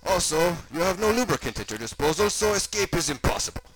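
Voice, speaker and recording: An eccentric German mad scientist for a comic story. The voice is pushed higher, with a thick mock German accent. He is sinister and gleeful, savoring each word theatrically and dropping in German. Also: big pitch swings, fast, fairly bright tone, low-quality recording. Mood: gloating, sinister. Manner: theatrical, over-enunciated, mixing in German words.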